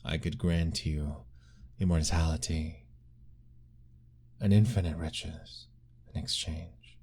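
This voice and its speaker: A man voicing an ancient, lovestruck male vampire lord in an ASMR roleplay, formal and soft-spoken, pleading gently. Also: extremely low pitch, fairly even intonation, slow, a little hiss or hum.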